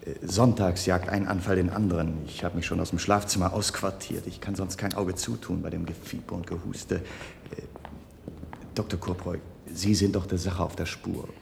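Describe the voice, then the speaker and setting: A man's voice: little pitch movement, normal speed. An actor playing an anxious, hesitant man who pleads and confides uneasily in a German radio play. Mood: anxious, halting. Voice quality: very deep, creaky voice. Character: anxious, hesitant man pleading about his sick wife. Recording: long reverberant hall, faint noise.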